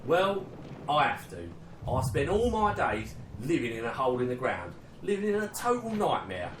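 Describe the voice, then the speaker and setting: A man's voice: medium pitch, sing-song, normal speed, natural voice. A British man playing an earnest, hopeful young soldier of the First World War in a radio play. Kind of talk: dramatic dialogue in an audio play. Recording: roomy, distant, clearly noisy recording.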